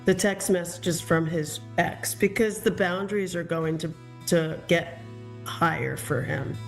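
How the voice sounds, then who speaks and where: A woman's voice: on the low side, unhurried, gravelly, faint music behind. A woman giving a psychic reading to a caller, reassuring and searching for her words as she goes. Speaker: psychic reader.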